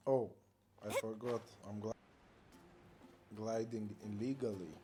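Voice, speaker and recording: A man's voice: on the low side, steady tone, slow pace, background noise. A man giving casual, playful commentary while playing a video game. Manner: joking, playful. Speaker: game streamer.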